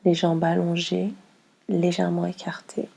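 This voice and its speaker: A woman leading a guided yoga nidra relaxation, speaking slowly and calmly in a soft, breathy voice with long pauses. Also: fairly low pitch. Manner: slow, calm, soothing instruction with long pauses.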